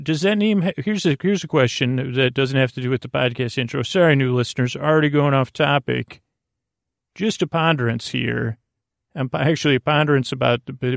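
A man hosting a bedtime podcast in a creaky, soothing voice, meandering and halting through gently silly tangents. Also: on the high side, dry recording, clean studio sound. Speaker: podcast host. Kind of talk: rambling, whimsical bedtime podcast monologue.

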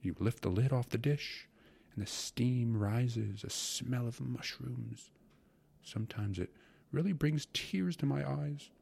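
A man voicing a gluttonous, syrupy court secretary in a storybook reading, breathy and savoring every word as he wheedles and repeats himself. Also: medium pitch, slow, a little mellow, voice pushed up, heavily acted. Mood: rapturous, savoring. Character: gluttonous, syrupy male court secretary rhapsodizing about food.

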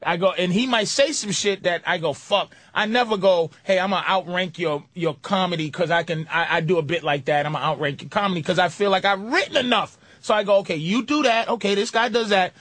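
A man talks fast and loose on a comedy podcast, his voice sitting high and bouncing around as he acts out quotes. He swears freely. The sound is dry and close to the mic. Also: dry recording. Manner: profane, animated, acts out quotes in other voices. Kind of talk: casual podcast chat.

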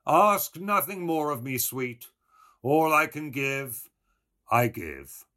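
Man acting a mocking young wit, loud and theatrical. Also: mid-range voice, expressive, slow, voice pushed up. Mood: mock tender. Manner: declamatory, mock-grand.

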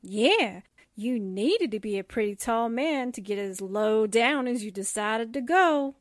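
A woman's voice: on the high side, animated, a little slow. A woman playing a wisecracking, plain-spoken newspaperwoman with a wry, needling manner, in a 1940s-style radio drama.

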